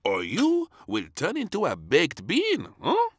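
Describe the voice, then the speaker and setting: A man voicing a bossy, excitable castle chef in a children's storybook, theatrical and emphatic. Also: fairly high pitch, measured pace, husky, dry recording, studio quality, pitched above the performer's own voice, heavily acted. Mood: warning. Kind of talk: read-aloud children's storybook.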